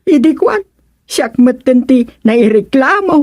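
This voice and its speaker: A woman's voice: high voice, expressive, slow, darkish tone, dry recording, studio quality, heavily acted. A woman with an Ilocano accent playing a chatty, gossiping neighbour in a radio comedy drama.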